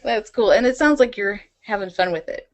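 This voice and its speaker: A woman's voice: medium pitch, expressive, brisk. A woman with a mid-range voice speaks as a podcast host. She interviews in a casual, conversational style.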